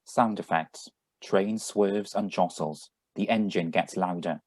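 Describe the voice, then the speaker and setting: A man with a deep voice announces stage directions for a radio play read over a video call. His scripted delivery is slow and deliberate. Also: darkish tone. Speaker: announcer reading sound effect directions. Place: remote, on a Zoom video call. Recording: live video call reading.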